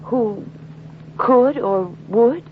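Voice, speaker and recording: Femme fatale for radio mystery: coy, hesitant, slow delivery, dull vintage sound with faint noise. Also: female voice, a little high. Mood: puzzled. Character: sultry female murder suspect in detective drama. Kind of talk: 1950s radio drama acting. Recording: muffled, faintly noisy vintage radio recording.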